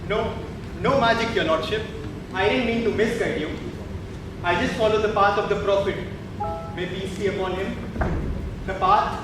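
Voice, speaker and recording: An actor playing a gentle, humble Sufi holy man, earnest and deferential, in a stage drama. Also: high-pitched, normal speed, pauses often, lightly acted. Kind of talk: theatrical stage acting. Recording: echoey stage, distant mic, noisy.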